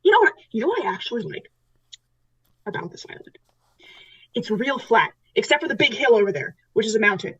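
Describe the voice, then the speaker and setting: A husky female island survivor, played by a woman at a game table rambles insistently through her stories, circling back and repeating herself. Her voice sits fairly high, and the sound is a little dull and lo-fi. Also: very animated, brisk, pauses often, heavily acted. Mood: rambling, insistent. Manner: repetitive, rambling storyteller. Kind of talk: in-character tabletop roleplay.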